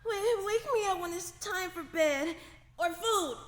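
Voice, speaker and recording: A woman playing an excitable, whiny young boy in a radio drama, easily scared and bratty. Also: very high-pitched, a little slow, big-room sound. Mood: whiny, worn out.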